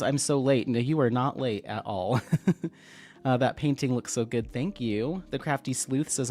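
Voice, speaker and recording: A man hosting a casual craft livestream, slightly sniffly, reading viewer comments aloud in a relaxed, friendly way at a desk microphone. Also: mid-range voice. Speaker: livestream host. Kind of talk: casual live-stream chat, reading comments. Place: home craft room, at a desk.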